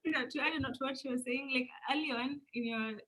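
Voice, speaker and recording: A woman's voice: sits high, steady tone, quick pace, fairly bright tone, husky, poor audio. A woman giving career advice in casual panel chat, frank and matter-of-fact.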